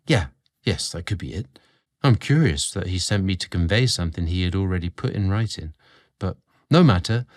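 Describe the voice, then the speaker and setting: A man voicing a polite, reserved young male butler who is quietly smitten, in storybook dialogue. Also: sits low, lively intonation, moderate pace. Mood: polite, curious.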